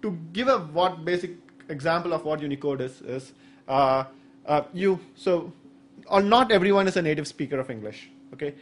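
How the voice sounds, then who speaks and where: A man's voice: fairly high pitch, normal speed. A man in his thirties with an Indian accent, a native Saurashtra speaker, giving a technical conference talk with hesitant explanations and many fillers. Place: medium indoor conference room. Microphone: stand microphone at the podium.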